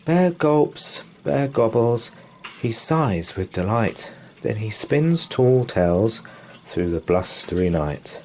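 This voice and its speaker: A man with a low, mellow voice reads a children's bedtime picture book aloud. He takes it very slowly and lets his pitch swing up and down through the rhyming lines. A faint hiss of background noise sits under the reading. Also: sing-song. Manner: slow, sing-song storytelling. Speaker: story reader. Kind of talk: bedtime story read-aloud.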